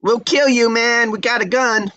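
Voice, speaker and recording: A man's voice: extremely high pitch, fairly even intonation, moderate pace, bright, forceful tone, no echo, pitched above the performer's own voice. A man voicing a cheeky, pushy teenage street boy with slangy, wheedling talk in a table read. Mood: threatening. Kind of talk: screenplay table read acting.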